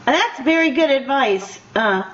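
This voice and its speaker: An older woman hosting a casual radio interview in a chatty, conversational way, quick to laugh. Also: high voice, animated, fairly bright tone. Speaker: radio show host. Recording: dull, lo-fi radio interview audio.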